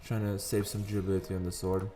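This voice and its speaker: A man with a somewhat low, raspy morning voice talks casually over a game at his desk. He rambles and drifts into sidetracks as he plays. Soft background music runs quietly under his words. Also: level tone, fast talker. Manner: chatty, rambling, wanders into sidetracks. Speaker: gaming video host. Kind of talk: casual gameplay commentary. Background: faint background music.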